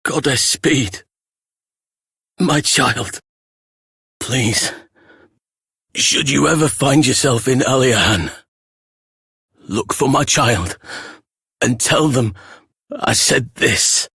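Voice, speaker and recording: An actor playing a dying, regretful adventurer father in a video game, speaking weakly in a husky, breathy voice with halting, tender solemnity. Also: mid-range voice, sharp tone, no echo, clean studio sound, heavily acted. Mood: tearful, loving. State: weak, dying. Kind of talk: video game dramatic voice acting.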